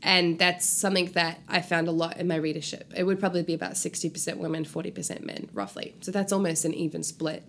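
A woman's voice: fairly low pitch, fast talker, hardly any pauses. A woman speaking casually and informally as a guest author in an interview. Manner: animated, informal, frequent "like" and swearing.

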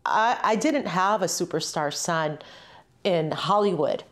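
Middle-aged woman reflecting, slightly low voice. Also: measured pace. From Puerto Rico-born, grew up in western Massachusetts from age two. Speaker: interview guest, mother and community organizer. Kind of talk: personal, reflective interview talk.

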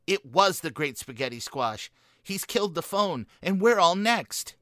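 A man's voice: fairly high pitch, animated, unhurried, fairly bright tone, no room sound, clean studio sound. An actor playing an anxious, doom-laden young male heir in a melodramatic comic gothic radio play, fretful in manner. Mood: fearful.

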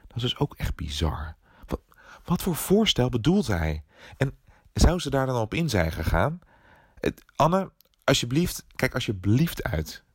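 An actor playing a worried, puzzled colleague leaving a hesitant, informal voicemail in an audio drama. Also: male voice, mid-range voice, big pitch swings, unhurried, breathy edge, dry recording, clean studio sound. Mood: concerned. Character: worried, puzzled male colleague at local newsroom.

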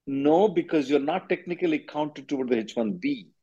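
A man's voice: fairly high pitch, lively intonation, brisk, gravelly, warm tone, slightly rough. A man hosting a legal advice call as an attorney, answering bluntly and often repeating himself. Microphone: conference call line. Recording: dull lo-fi conference call audio.

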